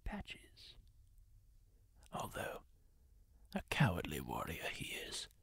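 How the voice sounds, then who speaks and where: A man voicing a kindly masked healer-warrior, telling tales in a slow, breathy ASMR whisper close to the mic. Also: a bass voice, wildly swooping pitch, fairly bright tone, dry recording, exaggerated voice. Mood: hushed. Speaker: ASMR roleplayer. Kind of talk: whispered ASMR roleplay monologue.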